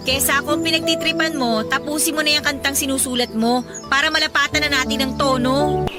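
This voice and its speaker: Woman playing a high-voiced feisty young band singer. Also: steady tone, fairly quick, lightly acted. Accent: Filipino. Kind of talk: Tagalog radio drama dialogue. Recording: loud music bed under the voice.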